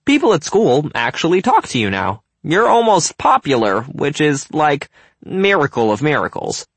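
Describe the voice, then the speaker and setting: Man acting a needling, higher-pitched teenage boy. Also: very animated, normal speed, slightly rough, no echo, full character voice. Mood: goading. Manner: sarcastic, goading. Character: blunt, needling teenage schoolboy.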